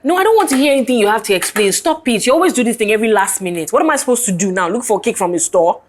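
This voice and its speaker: A woman playing a lively, bossy young best friend in a screen drama, speaking emphatically. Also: mid-range voice, a little fast, a little hiss or hum, close to an everyday voice. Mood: annoyed. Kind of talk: naturalistic screen drama acting.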